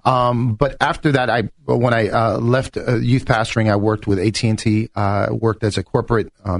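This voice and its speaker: A man's voice: fairly low pitch, no room sound. A middle-aged man from New York talking conversationally as a political candidate in a radio interview. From New York, United States.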